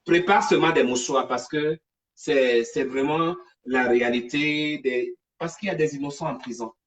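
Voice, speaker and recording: A woman hosting a casual live stream, talking in an animated, digressive, chatty way. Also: very deep voice, moderate pace. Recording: distant from the mic.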